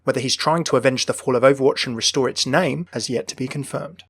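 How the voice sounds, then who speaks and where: A man's voice: medium pitch, quick pace. A man narrating a scripted video about the lore and backstory of a game character.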